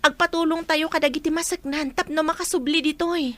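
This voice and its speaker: A woman playing a brisk, take-charge young friend in an amateur audio drama, with an Ilocano accent. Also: high voice, fairly even intonation, fairly bright tone, no echo. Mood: reassuring.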